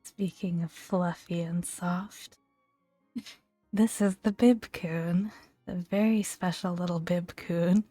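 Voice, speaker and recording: Hushed, slow soft-spoken ASMR woman. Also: lowish voice, fairly even intonation, no echo, faint music behind. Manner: gentle, hushed, often breaking into near-whisper. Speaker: host of a gaming ASMR video. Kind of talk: soft-spoken ASMR, relaxed commentary and read-aloud entries.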